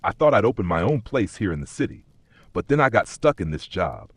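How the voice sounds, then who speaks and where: A man playing a weary, doubtful corporate worker in a dark fantasy audio story, plain-spoken and skeptical. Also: fairly low pitch, sing-song, a little fast, no echo. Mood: resigned.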